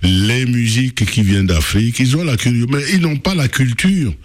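A man on a radio panel, explaining a point in a measured way. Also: mid-range voice, no echo, clean studio sound. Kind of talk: radio discussion.

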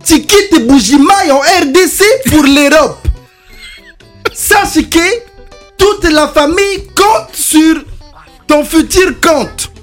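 A man's voice: extremely high pitch, faint music behind, pitched above the performer's own voice. A man putting on a preachy, money-hungry old father. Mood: mock solemn. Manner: solemn mock blessings, drawn-out sing-song delivery.

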